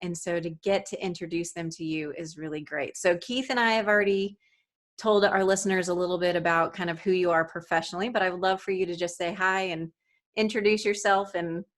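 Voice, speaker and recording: A woman's voice: fairly low pitch, fairly quick. A woman hosting a podcast interview, enthusiastic and giggly. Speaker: podcast host.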